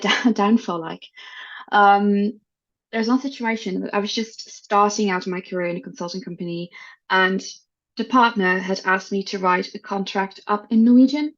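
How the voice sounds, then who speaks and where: A woman's voice: mid-range voice, highs cut off. A woman from the Netherlands whose first language is Dutch, talking frankly and thoughtfully as an interview guest about her work. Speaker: guest, head of people team. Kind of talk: casual interview talk.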